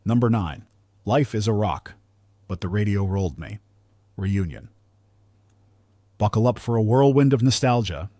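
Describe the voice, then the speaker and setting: A man's voice: on the low side, expressive, unhurried, long pauses, warm tone. A man narrating a scripted countdown in a polished, earnest delivery, as the narrator.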